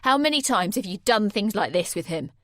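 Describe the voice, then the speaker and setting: A jealous, violent young man, as a woman reads him, speaks loud, harsh and accusing. The voice stays near the reader's own pitch, pushed hard. The sound is close and dry. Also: lively intonation, a little fast, dry recording, lightly acted. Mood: furious.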